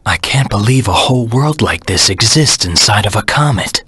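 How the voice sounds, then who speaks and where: A man voicing a brooding, defiant antihero creature in a video game, grave and menacing, with a gravelly rasp. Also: on the low side, expressive, moderate pace, pushed and bright, no echo, studio quality, exaggerated voice. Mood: brooding, intent. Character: brooding, defiant adult male antihero creature, cold and determined. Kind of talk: dramatic game voice acting.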